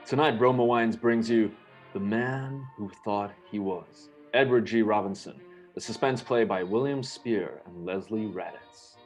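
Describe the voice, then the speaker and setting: A man's voice: lowish voice, unhurried, muffled recording, faint music behind, lightly acted. A man playing a solemn 1940s radio suspense announcer. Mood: grave, formal.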